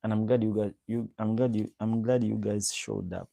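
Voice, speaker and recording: A man's voice: lowish voice, steady tone, normal speed, vocal fry, dark tone, no room sound. A young man from Uganda hosting a casual livestream, greeting viewers by name and repeating his questions. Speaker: livestream host. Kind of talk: casual livestream chat reading comments.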